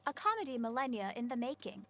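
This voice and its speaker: Trailer announcer voiced by a woman: punchy, grand promotional reads in a high register. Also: big pitch swings, quick pace, music in the background. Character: dramatic female trailer announcer.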